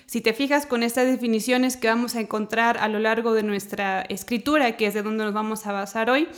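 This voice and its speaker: A woman's voice: fairly high pitch, fairly even intonation, fairly quick. A woman from Chile with a mixed Chilean and Mexican Spanish accent, a podcast guest patiently explaining Bible terms and laughing easily. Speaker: guest, theology teacher.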